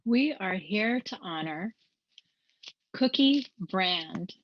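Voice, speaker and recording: Woman, mid pitch, slow upbeat award announcer; dry muffled audio. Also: lively intonation, a little hiss or hum. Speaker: award presenter. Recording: dry, dull recording.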